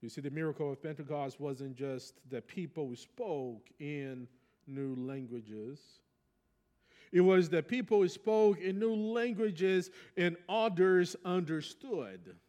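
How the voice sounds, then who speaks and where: A man's voice: fairly high pitch, takes it slowly, a little mellow. A man from Brazil with a Brazilian Portuguese accent, a native Portuguese speaker, preaching a sermon in a reflective, conversational storytelling way. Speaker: pastor.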